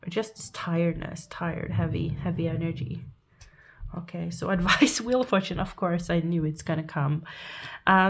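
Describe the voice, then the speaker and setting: A woman with a Norwegian accent giving a pick-a-card tarot reading, sniffly and halting, restarting her thoughts as she speaks. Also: lowish voice, creaky, slight background noise. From Norway. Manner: halting, thinking aloud with restarts and soft laughs. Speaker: tarot reader.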